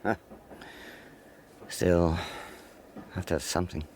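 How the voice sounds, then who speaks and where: Deep-voiced, gloomy, reserved gentleman. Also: little pitch movement, takes it slowly. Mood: glum, grumbling. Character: reserved, gloomy older gentleman, privately grumbling. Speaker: actor in radio play. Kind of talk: BBC radio drama acting. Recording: noisy train ambience behind.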